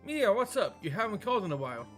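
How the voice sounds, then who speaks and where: A man's voice: high-pitched, very animated, fast talker, a bit bright, faint music behind, voice pushed up. A man voicing a playful, teasing young woman, a younger sister in a visual novel. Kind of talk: visual novel read-aloud.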